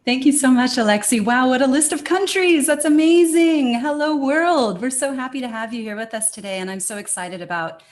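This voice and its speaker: A woman's voice: sits high, brisk, hardly any pauses. A woman from the USA introducing herself on an online panel as a communication consultant, talking in an enthusiastic, upbeat way.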